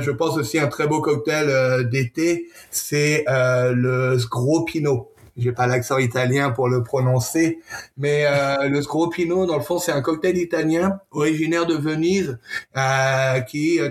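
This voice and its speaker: A man speaks as a guest presenting a product in an interview. His intonation stays fairly flat at an average male pitch. He talks conversationally, with frequent pauses and doubled-up words. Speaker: gin brand representative, interview guest.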